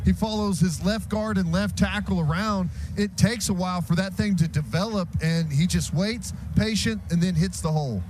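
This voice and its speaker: A man's voice: high-pitched, normal speed, few pauses, slight background noise, poor audio. A man giving conversational color analysis on a radio football broadcast, explaining how plays work. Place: stadium radio booth. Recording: radio broadcast over loud stadium sound.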